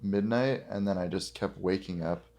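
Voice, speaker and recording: A man's voice: low-pitched, moderate pace, a little mellow. A young man in casual chat on a podcast.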